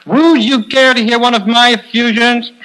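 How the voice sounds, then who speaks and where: An actor playing an affected, foppish amateur poet, reciting pompously in 1930s radio comedy. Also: male voice, very high voice, fairly even intonation, moderate pace, pushed and bright. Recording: very noisy 1930s radio recording.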